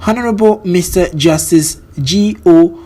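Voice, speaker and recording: A man with a Nigerian accent reads scripted news as a presenter, in a formal, measured delivery. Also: sits high, dark tone.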